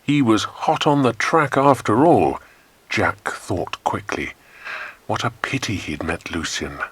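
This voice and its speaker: Man, English accent, lowish voice, lively intonation, scripted story narration, dramatic, measured, audiobook narrator. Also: slightly rough, no echo.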